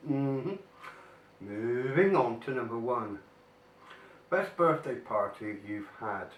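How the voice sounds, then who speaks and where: A man's voice: medium pitch, lively intonation. An older man, talking casually through weekly questions while he has a cold, with many ums and mm-hmms. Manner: slow, chatty, frequent mm-hmms and ums. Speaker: vlogger. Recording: echoey room, mic at a distance, faint noise.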